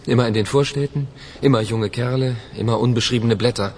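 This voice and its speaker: A man's voice: mid-range voice, steady tone, a little fast, darkish tone, slight background noise, natural voice. An actor playing a matter-of-fact, wryly gloomy male police detective in a radio play. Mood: grim, matter-of-fact. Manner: matter-of-fact, clipped, wry.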